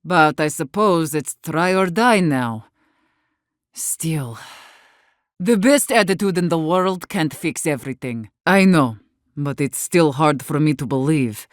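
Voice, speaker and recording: A woman's voice: deep voice, expressive, slow, no room sound, clean studio sound. An actor, a woman, playing a blunt, guarded and embittered leader of besieged wardens in a video game. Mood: resigned, somber. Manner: blunt, guarded, sharp-tongued, swearing. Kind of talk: video game character dialogue.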